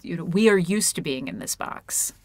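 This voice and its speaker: Low-pitched, playful woman in dry sound. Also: normal speed, no echo. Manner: animated, playful, self-deprecating. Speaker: guest puppeteer and author. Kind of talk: casual interview chat with explanations. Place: small room at home, video call.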